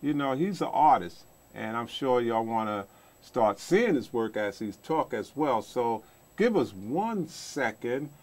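A middle-aged man speaks as the host of an informal talk show. His voice is mid-pitched, and he tends to ramble and repeat his phrases as he puts questions to a guest. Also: very animated, measured pace, darkish tone. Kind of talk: informal interview. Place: small indoor TV studio.

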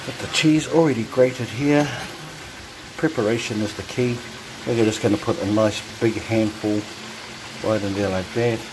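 A man casually narrating his home cooking, relaxed and chatty with frequent ums, filmed on a phone over the stove. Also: fairly low pitch, moderate pace. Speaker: home cook vlogger. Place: home kitchen. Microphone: phone camera held over the stove. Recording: noisy home kitchen phone recording. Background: sizzling and bubbling pots.

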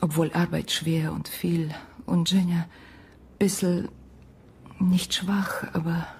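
An actress playing a sorrowful Ukrainian woman in a radio drama, speaking halting, broken German in a breathy half-whisper with an Eastern European accent. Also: lowish voice, level tone, a little mellow, exaggerated voice. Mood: wistful. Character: sorrowful Ukrainian woman recounting a lost love. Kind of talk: radio drama acting, intimate storytelling.

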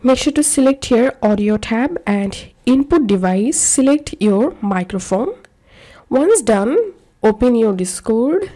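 A woman narrating a step-by-step software tutorial in short, instructional phrases. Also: a little high, animated, slow. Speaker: tutorial presenter.

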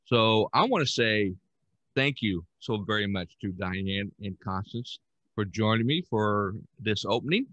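A man's voice: on the low side, lively intonation, a little slow, fairly bright tone, no echo, lo-fi sound. An older man hosting a podcast in casual, rambling talk with chuckles, speaking over a headset on a video call. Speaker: podcast host. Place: room at home.

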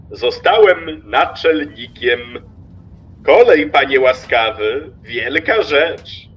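An actor playing a pompous, jovially mocking railway stationmaster in an audio drama, effusive and theatrical, with drawn-out exclamations. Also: male voice, medium pitch, a bit bright, lo-fi sound. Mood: pompous pride.

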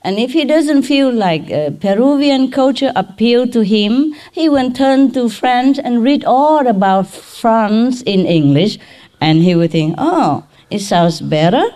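A middle-aged woman with a Vietnamese accent giving an informal lecture in simple, halting English, checking in with her listeners. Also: a little high, expressive, mellow. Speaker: spiritual teacher giving a lecture. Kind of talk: informal spoken lecture to a gathering. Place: large hall or tent with seated audience. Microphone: microphone on a boom stand.

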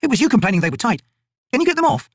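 A man voicing a gruff female soldier, brisk and sardonic in a raised voice. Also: extremely high pitch, big pitch swings, no room sound, voice pushed up, full character voice.

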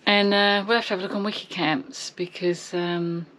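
A woman's voice: lowish voice, little pitch movement, normal speed, fairly bright tone, low-quality recording. A woman chatting informally to camera as a travel vlogger, recorded on a nearby camera. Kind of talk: casual chat to camera. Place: mostly outdoors on open clifftop.

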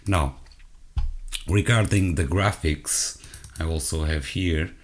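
A man from Portugal with a Portuguese accent, hosting a software walkthrough in English as his second language, hesitant and full of self-corrections, talking straight to his viewers. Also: very deep voice, slow. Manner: addresses viewers directly. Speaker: tech channel host. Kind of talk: screen-recorded software walkthrough.

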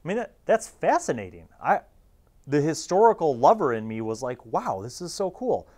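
A man's voice: fairly high pitch, very animated, normal speed, mellow. A man in his forties hosting a casual review, talking conversationally with emphatic asides into a lapel mic. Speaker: host of a tabletop gaming review series. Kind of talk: casual review and commentary on a magazine.

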